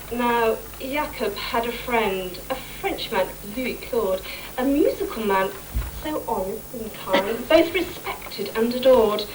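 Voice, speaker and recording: A woman narrating an amateur pantomime in scripted rhyming verse. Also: a little high. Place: stage performance. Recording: noisy amateur live stage recording.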